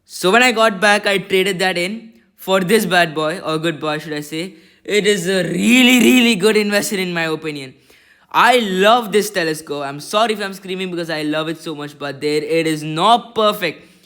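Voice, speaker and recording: A man with a squeaky voice giving a rambling, self-conscious voice-over review of a telescope, recorded on a laptop mic. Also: normal speed, fairly bright tone. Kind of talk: casual voice-over product review.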